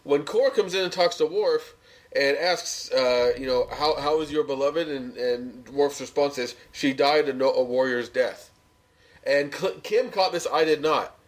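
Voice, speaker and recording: Higher-voiced man recapping TV episodes. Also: unhurried. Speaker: podcast co-host. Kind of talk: casual episode recap chat.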